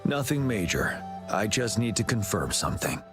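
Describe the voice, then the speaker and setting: An actor playing a calm, commanding male leader in a game cutscene, speaking in a composed, measured way. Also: fairly low pitch. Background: game music.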